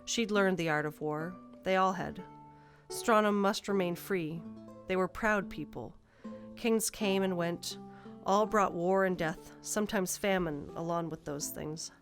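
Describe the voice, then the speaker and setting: A woman's voice: on the low side, measured pace, soft background music. A woman narrator reading a scripted fantasy story.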